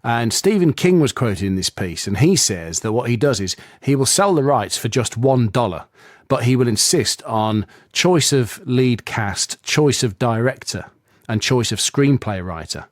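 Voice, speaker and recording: A man's voice: mid-range voice, expressive, unhurried, dry recording, studio quality. A male radio presenter interviewing a guest, asking curious, conversational questions.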